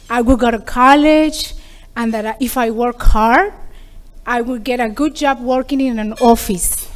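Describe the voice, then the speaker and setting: A middle-aged woman from Peru, giving an earnest prepared speech as a program graduate, with small restarts. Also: on the high side. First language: Spanish.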